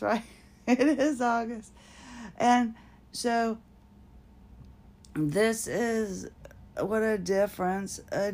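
A woman speaks in an unhurried, candid podcast monologue. Her voice carries a gravelly creak, and she rambles and pokes fun at herself, often dissolving into laughter as she talks. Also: lowish voice, a little mellow. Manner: rambling and self-mocking, often breaking into laughter. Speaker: podcast host.

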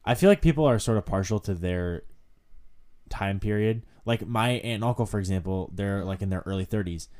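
A teenage boy hosting a podcast, rambling in a relaxed way with lots of filler words. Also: on the low side, fairly quick. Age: teens. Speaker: podcast host. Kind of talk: casual chat.

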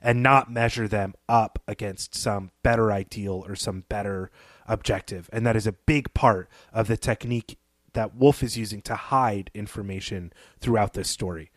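A man co-hosting a podcast, discussing fiction analytically in a measured, articulate and slightly formal way. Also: on the low side, steady tone, dry recording, studio quality. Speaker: podcast co-host.

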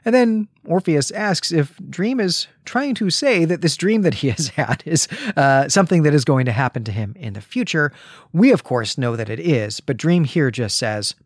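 A man hosting a literary discussion podcast, talking in a thoughtful, measured way and often rephrasing himself as he goes. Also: on the high side, expressive, no echo, studio quality. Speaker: podcast host. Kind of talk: literary discussion with retelling and reading aloud.

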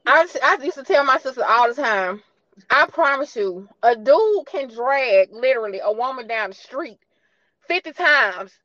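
A high-voiced American woman hosts a podcast in casual, colloquial speech. She tells stories with emphasis and plenty of slang. The sound is close and dry, slightly lo-fi. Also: expressive, moderate pace, fairly bright tone, dry recording. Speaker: podcast host. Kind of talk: casual animated chat and interviewing.

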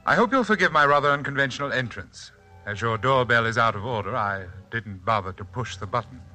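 Mysterious half-brother, pompous high-toned accent, over-polite, very sharp tone, vintage radio suspense. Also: male voice, animated, fairly quick, soft background music. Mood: smoothly formal. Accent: high-toned, affected. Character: eerie, formal, mysterious long-lost half-brother. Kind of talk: 1940s radio acting.

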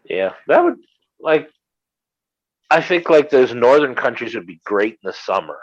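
A man guesting on a podcast in casual chat, cracking dry, deadpan jokes. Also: mid-range voice, normal speed, slightly rough. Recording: dull lo-fi audio.

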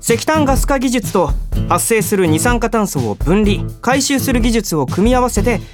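An actor playing an earnest, polite young office junior in a Japanese radio drama, easily exasperated. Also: male voice, very high voice, sing-song, moderate pace, music in the background. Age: young adult.

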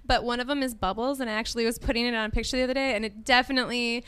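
A woman's voice: a little high, steady tone, very fast, fairly bright tone, clear, resonant tone, no room sound. A woman singer guesting on a radio show, chatty and full of likes and ums. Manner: chatty, filler-heavy. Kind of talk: casual interview.

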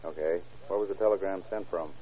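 A tough, persistent private eye, acted by a man in an old radio crime drama. His voice is low, very dark and rough-edged, and he talks in clipped, slangy questions. The recording is dull and aged. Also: a little fast, a little hiss or hum, lightly acted. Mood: brisk, businesslike.

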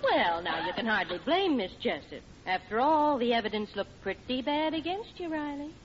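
A fond, sensible wife and mother in a 1940s radio comedy. She is gently teasing, with a fairly high, animated voice. An audience is clearly heard on the muffled old recording. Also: moderate pace, a crowd in the background. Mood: fond, reasoning. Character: affectionate, sensible housewife and mother.

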